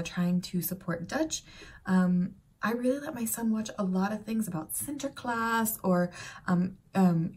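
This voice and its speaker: A woman in her thirties, born in Serbia and raised in Canada and the United States, chatting casually to camera from a bright room at home, rambling with lots of fillers and asides. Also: medium pitch. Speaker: vlogger.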